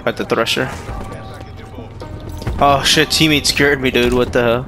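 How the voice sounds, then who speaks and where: A young man talks through a shooter match in a slangy, easygoing way and keeps breaking into loud, excited yelling. His voice sits in a middle pitch with a slight morning depth. Game music plays clearly under his voice. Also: measured pace. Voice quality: deeper than usual after waking. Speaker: gaming YouTuber. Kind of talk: live gameplay commentary.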